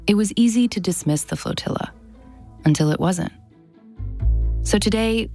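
A woman's voice: lowish voice, darkish tone. A woman, around her thirties, narrating a scripted audio documentary as its host. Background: music bed.

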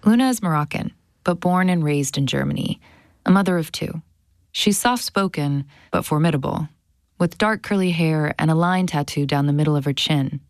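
A woman's voice: deep voice, no room sound, studio quality. A woman, around her thirties, narrating a scripted audio documentary as its host. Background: music bed.

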